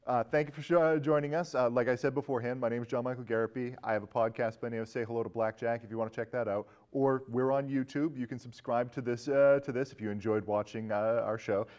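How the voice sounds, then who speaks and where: A man with an average-pitched voice hosts a casual interview. His speech is conversational, and the recording sounds a little dull and lo-fi. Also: fairly even intonation, fast talker, few pauses, darkish tone. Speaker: podcast host.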